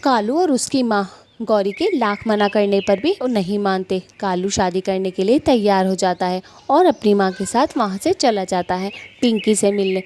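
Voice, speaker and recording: A woman narrating a cartoon story in a plain, steady storytelling manner. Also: mid-range voice, normal speed, slight background noise.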